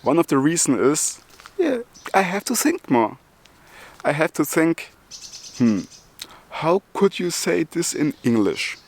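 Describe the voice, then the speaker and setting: Slow-talking German man who stutters. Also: a little high, big pitch swings. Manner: stutters with repeated sounds and restarts; chuckles between phrases. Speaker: YouTuber. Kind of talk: casual vlog talk.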